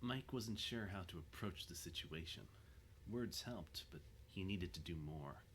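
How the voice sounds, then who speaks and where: Low-voiced male drama narrator. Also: expressive, unhurried, a bit bright, slight background noise, rough recording.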